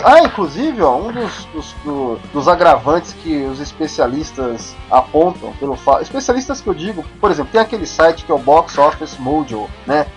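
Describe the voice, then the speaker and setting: A Brazilian man co-hosting a podcast with a casual, improvised rant, grumbling in emphatic, slangy speech. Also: on the high side. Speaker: podcast co-host.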